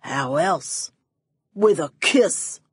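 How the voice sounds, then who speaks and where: A woman voicing a menacing, contemptuous male villain who smokes cigars, with a slow, snappish, sneering delivery in an audiobook. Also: a little high, lively intonation, husky, no room sound, studio quality, exaggerated voice. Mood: smug menace.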